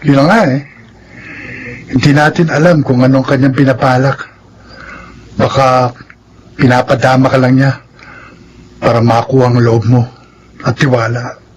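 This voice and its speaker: A man acting an earnest, protective young suitor in a Filipino radio drama, speaking deliberately with pauses. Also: medium pitch, little pitch movement, slight background noise, natural voice, rough recording. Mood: wary, doubtful. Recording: radio drama with background music.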